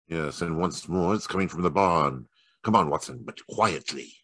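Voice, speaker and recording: A man playing a shrewd, courteous gentleman detective with measured formality, in old-time radio mystery style. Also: low-pitched, breathy edge, dry recording, clean studio sound, full character voice. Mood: shrewd, controlled.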